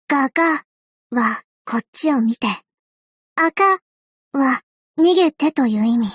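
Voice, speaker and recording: A gentle young woman aviator speaks in a high, light voice, soft and reassuring. Her delivery stays calm and kind, the tone of a game character. The recording is close and completely dry, with no room around it. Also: dry recording. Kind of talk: anime-style game voice acting. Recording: dry studio booth.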